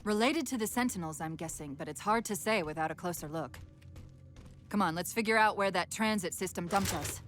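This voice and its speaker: A woman playing a quick-witted female AI companion in a video game, brisk and analytical with a dry wit. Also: lowish voice, a bit bright, close to an everyday voice. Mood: analytical, thoughtful. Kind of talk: video game cutscene acting. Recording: game audio with music behind. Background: music.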